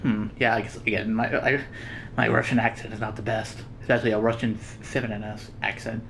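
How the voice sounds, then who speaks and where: A woman's voice: very deep voice, flat delivery, brisk, gravelly, slight background noise. A woman hosting a gaming video, giving casual let's play commentary in a rambling, slangy way.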